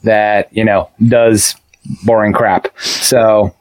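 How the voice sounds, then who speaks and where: A man's voice: on the low side, little pitch movement, takes it slowly, no room sound, poor audio. A man co-hosting a casual discussion, talking in a discursive way and often checking in with his listeners. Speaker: podcast co-host.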